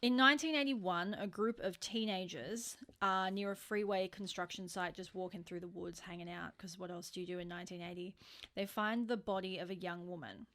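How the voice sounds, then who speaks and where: A woman's voice: lowish voice, fairly even intonation, brisk, a bit bright. An Australian woman co-hosting a true crime podcast, telling the story in an informal, sweary, conversational way.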